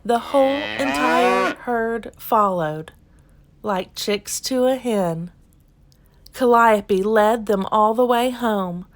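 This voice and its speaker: Slow, higher-voiced woman reading a storybook. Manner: deliberate dramatic storytelling. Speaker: children's book reader. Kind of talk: expressive scripted storybook narration.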